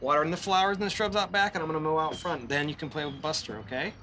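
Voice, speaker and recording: A man's voice: fairly high pitch, fairly even intonation, racing, heavy background noise, close to an everyday voice. A man playing a mild, naive married family man in an amateur film. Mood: mild, casual. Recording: film dialogue with music underneath.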